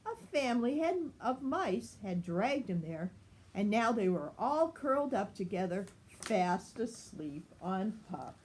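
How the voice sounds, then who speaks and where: An older woman reading a children's story aloud in an expressive, grandmotherly style. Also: mid-range voice, measured pace, a little hiss or hum, rough recording.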